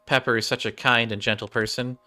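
A man's voice: mid-range voice, flat delivery, a little fast, pushed and bright. A man in his thirties streaming a game and reading its dialogue aloud in a room at home. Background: game music, keyboard typing.

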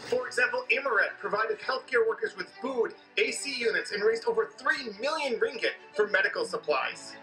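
A man narrating an online explainer video in an energetic, emphatic way, played back from the video. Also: extremely high pitch, a little fast, few pauses, rough recording. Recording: played through speakers with background music.

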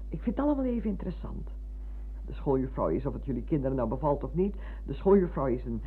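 An actress playing a talkative, insistent older former schoolmistress with a motherly way, in a radio drama. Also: low voice. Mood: eager, motherly. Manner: effusive, insistent, hesitating. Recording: muffled, hissy old radio recording.

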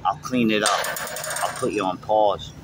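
A man casually narrating his welding work on a construction site in short, relaxed remarks. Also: fairly low pitch, moderate pace, background noise. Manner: laid-back, terse running commentary. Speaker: welder filming his work. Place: outdoors on a construction site, partly inside a steel tube.

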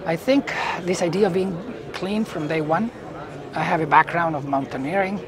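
A middle-aged man from Chile with a Chilean Spanish accent, answering interview questions as a company executive in halting phrases. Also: a little high. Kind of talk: unscripted business interview. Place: indoor booth at a trade conference. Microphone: lapel mic. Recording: busy event hall chatter behind.

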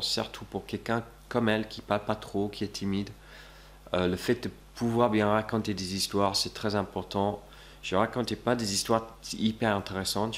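A young man seated in a small office, earnestly explaining his approach to the camera as a coach. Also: low voice. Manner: earnest, coaching, many restarts. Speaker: dating coach. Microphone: camera at close range.

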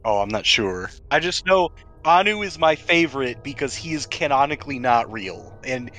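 A man's voice: medium pitch, expressive, moderate pace, sharp tone. A man speaking casually as game master, narrating and refereeing a tabletop game with jokey, profane asides.

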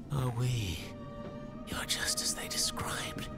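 An actor playing a mocking, zealous revolutionary in a video game, speaking in a flowery, sardonic manner. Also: male voice, on the high side, huge pitch swings, extremely slow, full character voice. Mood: flattering, theatrical. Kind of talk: video game cutscene acting. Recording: game music behind the voice.

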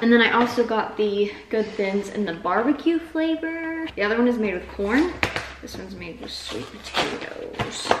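A young woman, vlogging casually in her home kitchen, chatty and self-deprecating, full of "like" fillers. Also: medium pitch. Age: young adult. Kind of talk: casual food vlog chat. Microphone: camera on the counter, some distance away. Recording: roomy, distant camera mic with hiss.

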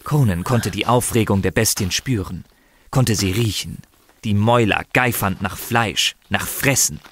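A man narrating a scripted fantasy story dramatically, with measured, suspenseful phrasing and pauses. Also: lowish voice, fairly bright tone. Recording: slight background noise.

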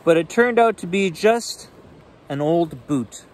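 A man in his forties reading a children's picture book aloud expressively, in simple, deliberate phrasing. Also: a little high, animated. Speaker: storyteller reading children's bedtime stories. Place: outdoors on a rainy forested shore. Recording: outdoor ambient noise.

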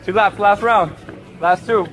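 Hyped American male gym coach, high-pitched. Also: a little slow, background noise. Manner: hyped, encouraging, shouts commands. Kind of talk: casual coaching and chat with gym clients. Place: warehouse gym and outdoor workout areas. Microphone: handheld phone. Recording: background music over handheld gym clips.

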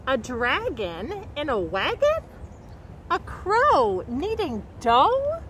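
A middle-aged woman reading a picture book aloud to young children in an expressive, carefully enunciated way, recorded outdoors. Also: high-pitched, drawn-out words. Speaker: storybook reader and nature educator. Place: outdoors by a woodland creek. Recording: outdoor background noise.

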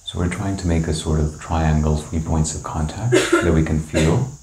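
A man leading a guided meditation, giving calm, gentle, unhurried instructions with long pauses. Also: very deep voice, very noisy. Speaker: meditation teacher. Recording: roomy, echoing indoor sound.

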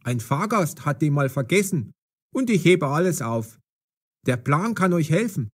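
Casting: male actor as brisk working man, audio story, slightly high voice, clear articulation. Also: very animated. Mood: helpful. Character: taxi driver and cemetery guard, brisk adult man. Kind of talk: scripted audio story for language learners.

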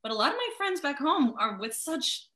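A woman playing a brash, blunt, foul-mouthed new mother with joking warmth in a comedic play reading over a video call. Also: sits high, big pitch swings, normal speed, echoey room, heavily acted. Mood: chatty, blunt. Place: video call in an echoey room.

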